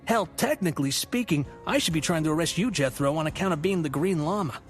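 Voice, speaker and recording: An actor playing a world-weary, blunt police officer in an audio drama, with a folksy way of talking. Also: male voice, fairly high pitch, lively intonation, fast talker, faint music behind, natural voice. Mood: exasperated.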